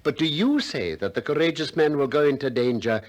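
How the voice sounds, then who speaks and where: A man acts a courteous but relentless philosopher in a radio play. His pitch swings widely through measured, articulate questions. He presses each point with insistent care. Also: animated, lightly acted. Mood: probing, insistent. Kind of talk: 1960s radio drama acting of philosophical dialogue.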